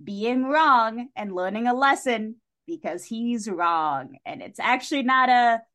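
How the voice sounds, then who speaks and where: A woman's voice: on the high side, animated, unhurried, a bit bright. A woman talking casually as a podcast guest, enthusiastic and wry, with playful asides.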